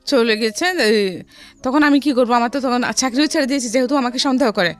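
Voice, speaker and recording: A middle-aged woman with a Bangladeshi accent telling her life story in a studio interview, haltingly and often repeating phrases. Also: on the high side. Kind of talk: personal storytelling in a radio interview. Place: radio studio. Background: background music.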